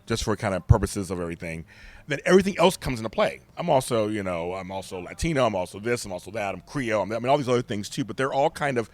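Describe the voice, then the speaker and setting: A middle-aged man with a deep voice, speaking candidly and emphatically as a podcast guest. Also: fast talker, no room sound. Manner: animated, emphatic storyteller. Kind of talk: candid conversation.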